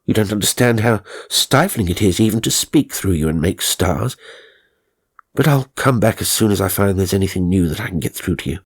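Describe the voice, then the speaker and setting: A man voicing a good-natured but irritable gentleman spirit, proper and emphatic, in a ghost story. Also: low-pitched, lively intonation, brisk, husky. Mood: exasperated. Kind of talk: dramatised audiobook ghost story.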